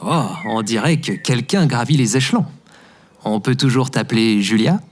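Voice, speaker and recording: A man's voice: mid-range voice, animated, normal speed, close to an everyday voice. A man playing a friendly, easygoing caller in an audio comedy, a little hesitant and teasing. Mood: friendly, bemused. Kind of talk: scripted audio comedy fiction acting.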